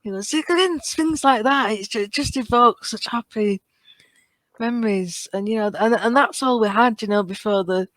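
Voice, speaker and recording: A British woman in casual fan chat as a podcast co-host, halting as she thinks aloud. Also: a little high, fairly bright tone, dry recording.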